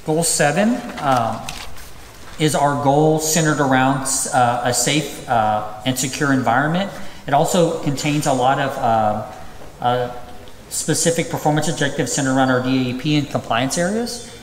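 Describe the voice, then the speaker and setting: A man's voice: medium pitch, moderate pace, a little hiss or hum. Guy in his 30s at a podium mic, lots of uhs, big echoey auditorium w a long tail. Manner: reads through items with many ums and uhs. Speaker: school district administrator. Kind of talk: presenting at a public hearing. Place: large empty auditorium. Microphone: podium microphone. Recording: echoey auditorium, distant reverb.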